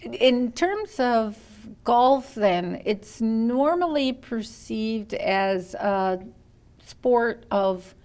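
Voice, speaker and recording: Older canadian lady hosting a laid-back chat, talks slow, asks questions. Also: fairly high pitch, expressive, darkish tone. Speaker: interviewer, host. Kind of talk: casual conversational interview.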